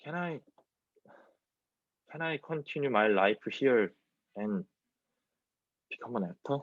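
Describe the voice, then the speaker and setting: A man in his twenties with a Korean accent, a native Korean speaker, reading his own dramatic monologue in halting English. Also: medium pitch, animated, dry recording. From South Korea. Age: 20s. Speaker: student actor. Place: small plain indoor room. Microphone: wireless earbuds on a video call. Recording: dull, lo-fi video-call audio.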